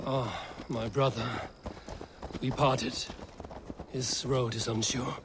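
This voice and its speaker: An actor playing a grave, level-headed Viking warrior in a video game, giving advice in a stern, measured manner. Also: male voice, mid-range voice, level tone, noisy background. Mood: sober. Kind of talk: video game character acting.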